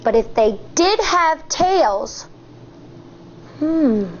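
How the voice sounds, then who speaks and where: An American woman in her thirties, a teacher reading a picture book aloud to children in an expressive, deliberate way. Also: high voice, lo-fi sound, slight background noise. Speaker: elementary school teacher.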